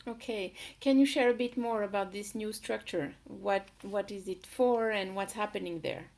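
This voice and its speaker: A woman's voice: mid-range voice, moderate pace, vocal fry, a little mellow. A woman interviewing a guest in a conversational style.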